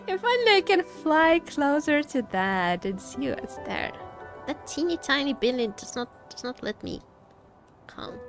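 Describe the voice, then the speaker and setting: A woman streaming a video game, chatting casually and playfully with her viewers and given to exclaiming and joking. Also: mid-range voice. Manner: playful, exclaiming, quick to joke. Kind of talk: casual live gameplay chat with viewers. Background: background music.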